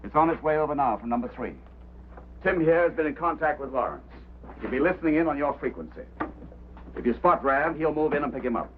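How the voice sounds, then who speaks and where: On a tinny, noisy vintage soundtrack, an actor plays a brusque mining boss, a man who gives orders and brushes off doubts. His pitch moves widely as he speaks, in old screen drama style. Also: lively intonation, fast, natural voice. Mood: commanding. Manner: commanding, dismissive. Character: brusque, impatient, skeptical mining company boss. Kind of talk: mid-century screen drama acting.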